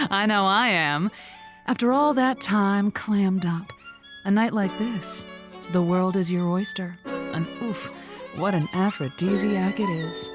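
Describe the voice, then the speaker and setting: A woman's voice: mid-range voice, takes it slowly, music in the background, close to an everyday voice. A woman playing a flirtatious, wisecracking radio love-show host in a comedy audio drama, playful and heavy on innuendo. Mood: showy, seductive. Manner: innuendo-laden, playful, punning.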